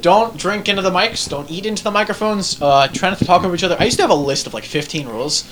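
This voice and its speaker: A young podcast host running the show, joking in quick, offhand asides. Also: mid-range voice, lively intonation, very noisy. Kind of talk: casual podcast chat.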